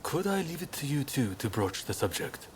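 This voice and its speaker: A man playing an earnest, courteous samurai leader with high ideals in a video game cutscene, speaking formally. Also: big pitch swings, normal speed, close to an everyday voice. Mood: thoughtful, resolved. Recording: clear background ambience noise.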